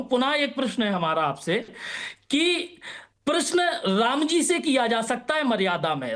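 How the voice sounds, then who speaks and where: A man's voice: very high-pitched, a bit bright. A religious man on a TV debate panel, insistent and interrupting, pressing his questions. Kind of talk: heated TV debate.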